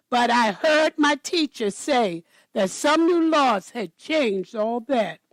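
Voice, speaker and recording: A woman storyteller reading a children's picture book aloud in a deliberate manner. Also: high voice, dry recording, poor audio.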